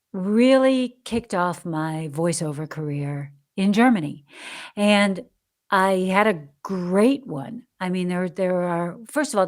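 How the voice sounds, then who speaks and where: Lively American woman telling her story. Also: fairly low pitch. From United States. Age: older. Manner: animated, laughs often, acts out quoted dialogue. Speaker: podcast guest, actress. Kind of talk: casual interview storytelling.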